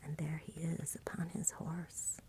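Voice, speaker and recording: A woman softly reading a storybook in a hushed, breathy ASMR whisper with a gentle delivery. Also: sits low, level tone, measured pace, low-quality recording. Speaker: reader, ASMR host.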